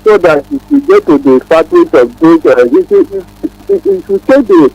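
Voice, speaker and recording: A man's voice: very high-pitched, huge pitch swings, normal speed, vocal fry, darkish tone, soft background music, low-quality recording. A man with a Nigerian accent phoning in to a radio show, earnestly exhorting and repeating his words. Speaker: radio phone-in caller. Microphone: phone call.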